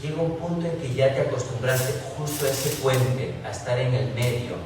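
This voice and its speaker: A man's voice: medium pitch, normal speed, very echoey, recorded from a distance, background noise. A man from Peru, an author on a literary panel, talking in a reflective, thinking-aloud way with frequent restarts. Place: large university hall.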